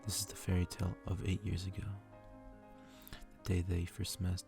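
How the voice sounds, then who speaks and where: A man reading a story aloud in a soft ASMR style, hushed and intimate, often slipping into whispers. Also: low voice, little pitch movement, moderate pace, creaky, loud music behind the voice. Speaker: ASMR reader. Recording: faint background noise.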